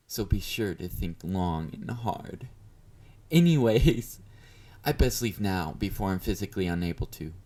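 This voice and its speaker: A man's voice: lowish voice, a little mellow. A man playing a confident, obsessive, flirty yandere fan in an intimate audio roleplay, teasing and smug as he speaks to his beloved. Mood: smug, possessive. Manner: teasing, smug, mock-considerate, murmured endearments.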